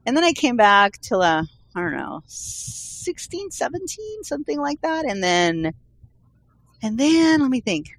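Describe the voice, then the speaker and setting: A woman's voice: high-pitched, sing-song, unhurried. A woman from Colombia, Spanish her first language, chatting casually and rambling through her story. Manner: rambling, restarts often, laughs easily. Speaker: guest. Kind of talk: casual chat.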